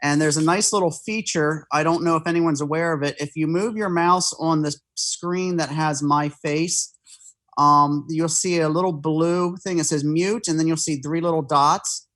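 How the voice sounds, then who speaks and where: A man's voice: on the high side, fairly even intonation, moderate pace, a bit bright. A man presenting on a video call from home, casually explaining things to a group. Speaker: guest presenter. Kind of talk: casual explaining before a slideshow.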